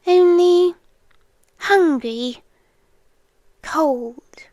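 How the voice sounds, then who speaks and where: A British woman playing an ancient, possessive female monster in an intimate ASMR roleplay, speaking in a hushed, deliberate, teasing way and often slipping into whispers. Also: very high-pitched, dry recording, clean studio sound, full character voice. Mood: solemn, mysterious. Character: ancient female monster, possessive, watchful, tenderly menacing lover. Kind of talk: ASMR roleplay, intimate slow dramatic monologue. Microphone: close, dry studio-style microphone.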